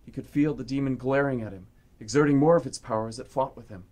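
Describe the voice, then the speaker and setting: A man's voice: mid-range voice, quick pace, a little mellow. A man reading scripted, dramatic story narration for a radio play.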